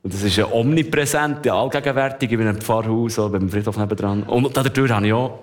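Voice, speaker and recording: Swiss German man in his thirties, lively conversational storyteller. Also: medium pitch, quick pace. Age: 30s. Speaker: guest. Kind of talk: casual conversation.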